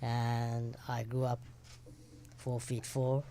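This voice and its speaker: An older man from Kenya, a guest actor in a casual interview, telling stories with dry, deadpan jokes and short playful answers. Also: mid-range voice, slow pace, a little hiss or hum.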